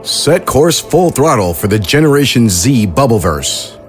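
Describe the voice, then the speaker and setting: A man hosting a fiction podcast, reading a scripted intro in a measured, announcer-like way. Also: mid-range voice, sing-song, soft background music. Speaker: podcast host.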